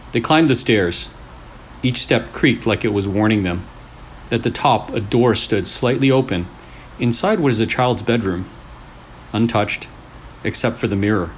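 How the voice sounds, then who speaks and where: A man reading a scary story aloud in short, deliberate phrases with pauses for suspense. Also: fairly low pitch. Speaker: storyteller, channel host. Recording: clearly noisy room recording.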